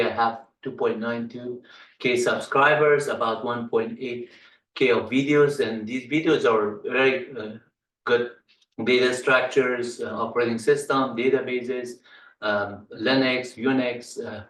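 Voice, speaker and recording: Middle-aged tutorial instructor from Afghanistan, native Persian speaker, halting delivery with fillers, flat tone, far-off echoey room. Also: male voice, medium pitch. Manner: halting, many ums and uhs. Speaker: computer science instructor. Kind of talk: screen-share tutorial walkthrough.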